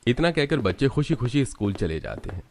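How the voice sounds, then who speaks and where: A man's voice: mid-range voice, big pitch swings, a little fast, darkish tone. A man narrating a scripted cartoon story.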